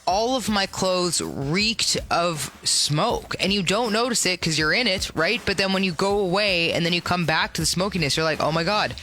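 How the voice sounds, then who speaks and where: Raspy lady host, bright punchy tone, chatty and goofy, studio mic. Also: on the low side, normal speed, hardly any pauses, faint music behind. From Ontario, Canada. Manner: chatty and playful, with exaggerated exclamations. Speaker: radio podcast host. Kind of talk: casual chat. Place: radio studio.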